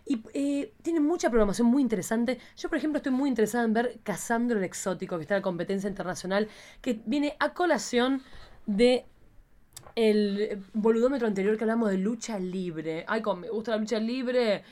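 A woman's voice: a little high, fairly quick, dark tone. An Argentine woman hosting a casual chat show in an irreverent, theatrical, slangy way. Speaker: podcast host.

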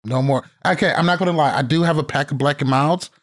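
A man's voice: on the high side, fast talker. A man in his thirties hosting a podcast, chatting casually and brashly, swearing freely. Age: 30s. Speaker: podcast host.

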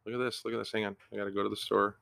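A man's voice: lowish voice, level tone, rapid-fire, vocal fry, bright, forceful tone. A middle-aged man hosting a casual podcast from home, rambling along with frequent ums and restarts. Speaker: podcast host.

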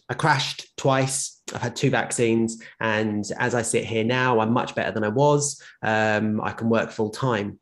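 A man sharing his own health experience in a casual conversation, often pausing and restarting. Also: mid-range voice. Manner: rambling, many fillers and restarts. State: short of breath at times. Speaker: guest with lived experience of long covid. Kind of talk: conversational personal account.

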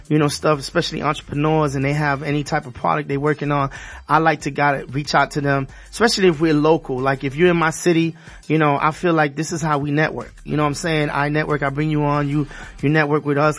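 Male radio host, casual and slangy, voice on the high side. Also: a bit bright. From Haitian background. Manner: loose, slangy, repeats himself. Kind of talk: casual interview.

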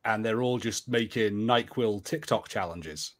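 A man's voice: lowish voice, unhurried. A man co-hosting a podcast, talking in an informal, jokey panel-chat way. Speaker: podcast co-host.

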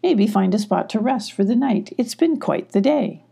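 A woman voicing a steady, thoughtful boy adventurer in a children's story, measured and reassuring. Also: mid-range voice, vocal fry, husky, heavily acted.

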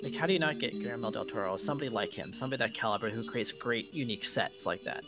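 A man's voice: mid-range voice, rapid-fire, music in the background. A man co-hosting a podcast in casual banter about games and film, giving his opinions in a joking way. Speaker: podcast co-host.

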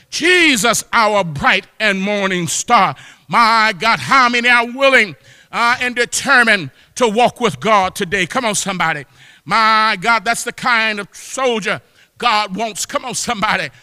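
A man preaching a fervent church sermon, exhorting with repeated exclamations of praise and often rising into shouts. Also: very high voice, clean tone, clean studio sound. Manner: impassioned, often breaking into shouts. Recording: long hall reverb.

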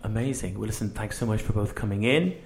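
A man's voice: fairly low pitch, fast talker. A man hosting a casual radio interview, speaking in an informal and encouraging way.

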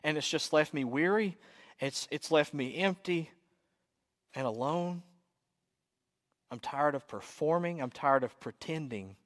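A man's voice: on the high side, animated, a little slow, pauses often, a bit bright. A man playing a weary, desperate pilgrim in a sermon story, pouring out his heart with raw emotion. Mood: weary, broken. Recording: echoey hall with long reverb.

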